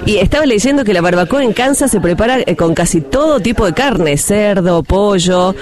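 A man's voice: sits high, expressive, normal speed. A man, a radio host asking curious questions in live chat. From Argentina. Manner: warm interjections, curious.

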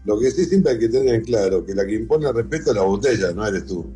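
A man's voice: fairly low pitch, lively intonation, fast, mellow, faint music behind. A middle-aged man with an Argentine accent, answering conversationally as the guest in an interview. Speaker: interview guest, whisky museum founder and collector.

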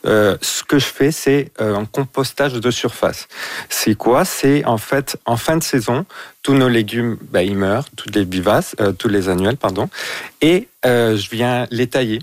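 A man's voice: mid-range voice, unhurried, no room sound. Man from Mexico, gardener guest, hesitant, fillers, dry studio sound. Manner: relaxed, hesitant with many fillers. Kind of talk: casual radio interview.

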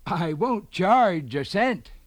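A man voicing a small, sly, quick-witted rabbit in a children's storybook, with a theatrical, persuasive delivery. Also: high voice, sing-song, dry recording, voice pushed up, exaggerated voice. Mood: sly, reassuring. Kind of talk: children's storybook character reading.

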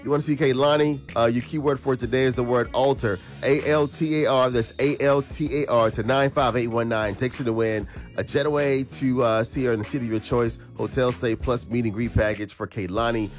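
A male radio host, a bit congested, keeps the banter rolling with quick repeats and hype. His pitch sits in the middle of the range. A soft music bed plays faintly underneath. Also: fairly even intonation. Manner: hype, repetitive call-and-response.

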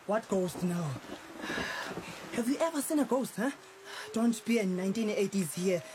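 A man's voice: high voice, expressive, normal speed. An actor playing a controlling, scornful man who mocks and laughs at his partner. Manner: mocking, laughs at her. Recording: noisy background.

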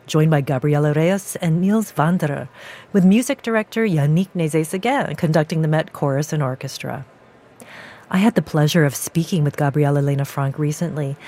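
A woman hosting a radio broadcast, poised and measured as she interviews and presents. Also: low voice, a little mellow.

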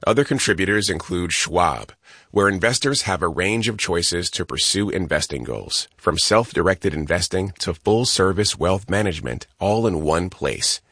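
An American man reads a scripted radio sponsorship message. His voice is deep and rough-edged, with a very dry studio sound. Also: lively intonation, moderate pace, a bit bright, dry recording. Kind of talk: scripted underwriting announcement.

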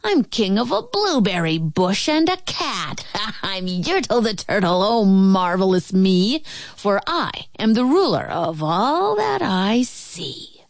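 A woman's voice: medium pitch, big pitch swings, slow pace, gravelly, no echo, studio quality, exaggerated voice. A woman voicing a pompous, bossy male turtle king, barking and bellowing in a storybook read-aloud. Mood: boastful, delighted.

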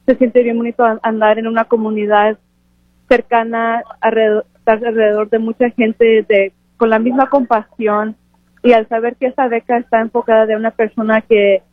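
A woman of twenty-two giving an earnest, halting, unscripted interview answer. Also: a little high, flat delivery, no echo. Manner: earnest, halting with self-corrections. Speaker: interviewed graduate student and scholarship recipient. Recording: phone-like radio broadcast sound.

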